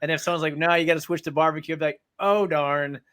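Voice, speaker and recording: A man's voice: fairly high pitch, fairly even intonation, fast talker, fairly bright tone. A man hosting a casual interview, informal and agreeable as he asks questions. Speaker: podcast host, interviewer.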